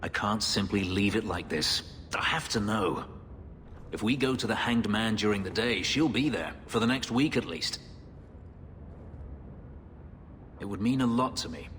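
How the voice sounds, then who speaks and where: A man's voice: fairly low pitch, normal speed, a bit bright, in a large hall, a little hiss or hum, lightly acted. An actor playing a bitter, brooding male elf warrior and former slave, speaking in a grave, terse, restrained way. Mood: earnest.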